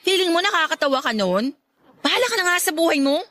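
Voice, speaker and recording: A woman's voice: extremely high pitch, big pitch swings, quick pace, pushed and bright, no echo, exaggerated voice. An actress playing a feisty, irritable young woman with hidden affection in a Filipino radio drama, blunt and scolding. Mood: exasperated. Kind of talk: Tagalog radio drama acting.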